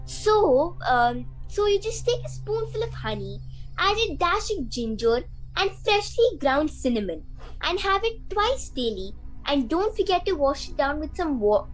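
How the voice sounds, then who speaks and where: A woman plays a blunt, bold mother in a student radio play. The voice is high and swings widely, with theatrical emphasis, and faint music plays underneath. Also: big pitch swings, measured pace, darkish tone, low-quality recording. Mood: chatty, blunt.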